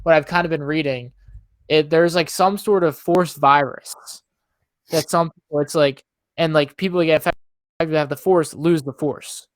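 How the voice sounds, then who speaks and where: A man's voice: fairly high pitch, fairly even intonation, normal speed, dry recording. Young man chatting casually, dry close sound. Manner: informal, rambling explainer. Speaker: podcast co-host. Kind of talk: casual pop-culture chat.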